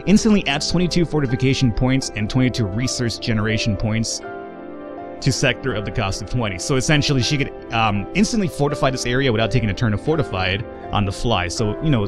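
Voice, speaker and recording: Young male let's-play host, fast, rambling, game music playing underneath. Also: mid-range voice. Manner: rambling, self-correcting, chuckles at his own slips. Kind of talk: gameplay walkthrough commentary.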